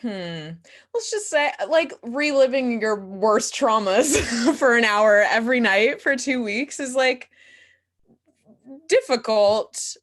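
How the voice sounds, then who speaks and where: A young woman giving casual interview answers as a guest playwright and performer, speaking in a candid, self-deprecating, animated and giggly way. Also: on the high side, slow. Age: young adult.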